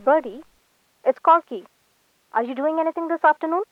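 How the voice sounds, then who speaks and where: A woman's voice: high-pitched, a little fast, dry recording. A woman playing an anxious, peevish young male artist in a radio drama, heard through a telephone filter. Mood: uneasy. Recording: thin, tinny phone line.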